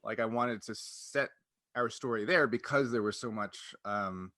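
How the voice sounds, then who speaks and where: A man's voice: fairly low pitch, a little slow, sharp tone, no room sound, studio quality. A man hosting a podcast, talking casually in a wordy, explanatory way. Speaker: podcast host.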